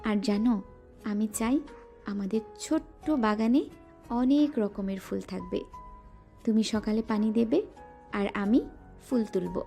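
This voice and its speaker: A woman playing a playful, affectionate young wife in a romantic audio drama, teasing and pouting, with soft music underneath. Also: medium pitch, a little slow, creaky, long pauses.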